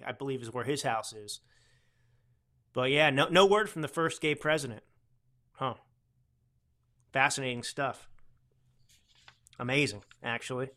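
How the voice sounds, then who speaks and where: A man's voice: on the high side, pushed and bright. A man hosting a political commentary podcast, talking in a sarcastic, mocking, rambling way with frequent restarts. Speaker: podcast host.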